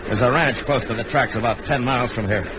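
An actor playing a stern, shrewd Old West marshal, terse and commanding, with an American accent, in a radio Western. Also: male voice, mid-range voice, a little fast, lightly acted. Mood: wry, shrewd. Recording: muffled, noisy vintage radio recording.

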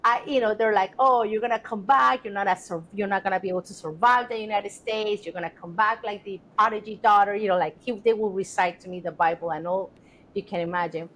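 A woman's voice: mid-range voice, fairly quick, fairly bright tone, low-quality recording. Earnest Colombian-born woman telling her story. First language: Spanish. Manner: earnest, reflective, many fillers. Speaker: guest. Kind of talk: casual interview storytelling.

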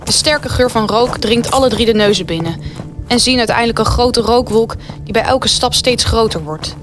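A woman's voice: on the high side, moderate pace, music in the background. A woman reading scripted narration as the storyteller of a wartime radio play.

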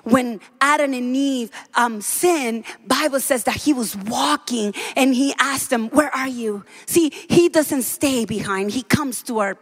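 A woman from the Dominican Republic preaching a sermon in a church, fervent and building to shouts. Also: high voice, a little slow, few pauses, husky. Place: church sanctuary.

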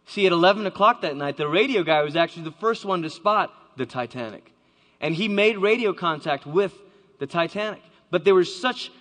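A man's voice: high voice, fairly bright tone. A man giving a dramatic storytelling talk to a live audience, acting out the voices he quotes. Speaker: speaker. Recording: long hall reverb.

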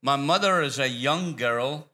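A man's voice: medium pitch, unhurried, sharp tone. An older man from Scotland with a Scottish accent, telling his faith story as a guest in a church interview, rambling and restarting often.

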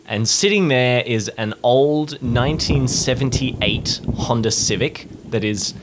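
A man's voice: medium pitch, a little slow, background noise. A man casually improvising narration as a player in a tabletop roleplaying game.

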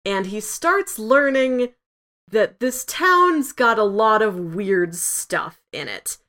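Woman chatting on a review podcast, normal pitch, says like a lot, very informal. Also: lively intonation, takes it slowly, pushed and bright. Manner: conversational, frequent "like" asides. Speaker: podcast co-host. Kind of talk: casual review podcast chat.

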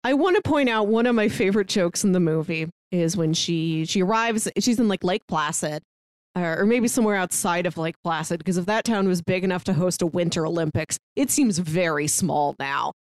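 A woman's voice: fairly low pitch, normal speed, no echo. A woman co-hosting a podcast, casually recapping films and acting out lines of dialogue as she retells them. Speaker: podcast co-host. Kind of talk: casual film discussion, recapping scenes.

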